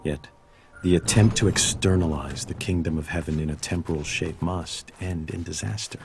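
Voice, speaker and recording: A man delivers scripted quotations with measured earnestness. His voice sits low, with plenty of gravel and fry, and there is faint noise behind it. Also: a little mellow.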